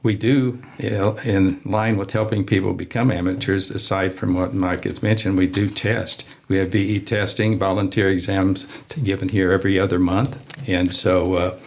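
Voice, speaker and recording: Male club spokesman, low gravelly voice, measured and slightly formal in conversation. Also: little pitch movement. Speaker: interview guest, amateur radio club member. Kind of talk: conversational explaining.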